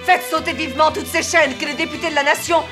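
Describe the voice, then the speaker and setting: A woman's voice: high-pitched, fairly even intonation, fast talker, music in the background, natural voice. A woman playing a proud young noblewoman officer raised as a man, in formal, earnest cartoon dubbing. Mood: commanding, indignant. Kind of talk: French-dubbed cartoon acting, formal period diction.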